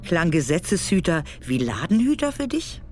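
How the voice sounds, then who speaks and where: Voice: mid-range voice, big pitch swings, normal speed, a little hiss or hum, lightly acted. A performer playing a dry, pithy debater in a scripted philosophical radio-play dialogue.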